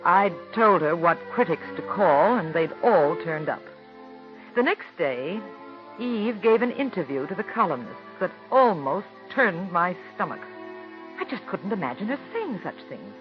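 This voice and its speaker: A wry married lady telling her story, very expressive pitch, 1940s radio play, muffled old recording. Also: unhurried. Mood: rueful. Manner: confiding, wry and self-reproaching in narration. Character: married woman, a theater star's friend, rueful and firm. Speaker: narrator and lead character. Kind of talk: 1940s radio drama acting. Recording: dull old radio broadcast with music.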